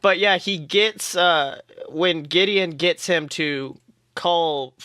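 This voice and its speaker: A man's voice: fairly high pitch, slow pace, pushed and bright, no room sound, clean studio sound. A man co-hosting a podcast, chatting casually and animatedly about a TV show, swearing freely. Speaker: podcast co-host.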